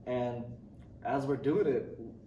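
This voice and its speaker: A man's voice: medium pitch, slow pace, dark tone. Young man, from Indonesia, hesitant, lots of ums, far from the mic, echoey noisy room. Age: young adult. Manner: hesitant, many ums and restarts, laughs lightly. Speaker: student interviewee. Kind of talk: casual interview answers. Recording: roomy, distant mic, noisy.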